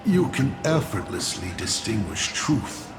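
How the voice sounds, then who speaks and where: Casting: cunning, amused game villain lord; gravelly, hugely animated swings of pitch, grandiose and teasing. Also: male voice. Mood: sly, confiding. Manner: theatrical, teasing, deliberate. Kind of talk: dramatic video game voice acting. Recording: echoing voice with music underneath. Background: music.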